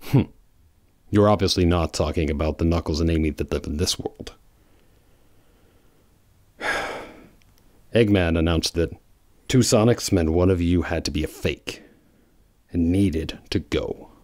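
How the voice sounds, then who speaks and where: A man's voice: sits low, unhurried, long pauses, a little mellow, no echo. A man voicing a bitter, brooding, protective male hedgehog hero in a fan audio drama.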